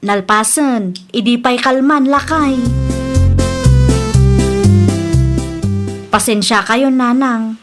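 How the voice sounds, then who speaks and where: A woman playing a generous, respectful wife and dutiful daughter in an audio drama, speaking gently and politely. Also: fairly high pitch, a little slow, over loud music, lightly acted. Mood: apologetic.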